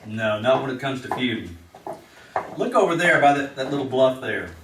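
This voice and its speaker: A man playing a steady, stern frontier lawman in a radio western, speaking plainly and firmly. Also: mid-range voice, normal speed, natural voice. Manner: plain, firm, matter-of-fact. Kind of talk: old-time radio drama acting on stage. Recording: echoey live stage, distant, faint noise.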